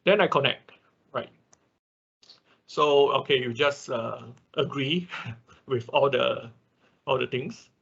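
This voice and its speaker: A man's voice: mid-range voice, slow, vocal fry, long pauses, fairly bright tone, breathy edge. A man giving an informal hands-on technical tutorial as an online instructor, often checking in with short tags, recorded on a dull computer microphone. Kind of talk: hands-on technical tutorial, walking through steps. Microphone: computer microphone in an online class.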